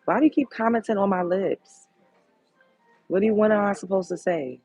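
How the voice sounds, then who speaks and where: Deep-voiced sultry woman host, gravelly. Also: moderate pace, a little mellow, dry recording, faint music behind. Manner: candid, blunt. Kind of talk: casual livestream chat reading comments.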